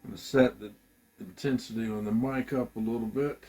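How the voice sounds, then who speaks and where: A man giving a casual, halting gear demo, thinking aloud as a musician at work. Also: mid-range voice, fairly even intonation, over loud music. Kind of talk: casual product demo talk.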